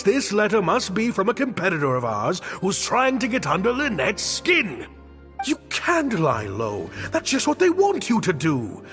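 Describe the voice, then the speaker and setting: An actor playing a theatrical, high-strung troupe director in a video game, with grandiose, emphatic delivery. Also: male voice, fairly bright tone, full character voice. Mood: alarmed, indignant. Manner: grandiose, emphatic, florid phrasing. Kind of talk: dramatic game voice acting. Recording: reverberant studio voice with music. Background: background music.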